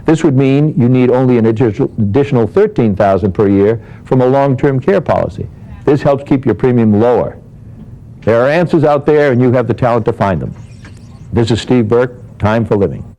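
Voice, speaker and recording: Mellow man reading practical advice. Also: mid-range voice, expressive, brisk, muffled recording. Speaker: financial advice segment presenter. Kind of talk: scripted advisory narration.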